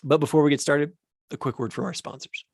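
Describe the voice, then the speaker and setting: A man's voice: on the high side, fairly even intonation, brisk, dry recording, studio quality. A man hosting an education podcast, reading a scripted intro and then chatting with enthusiasm and frequent restarts. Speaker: podcast host.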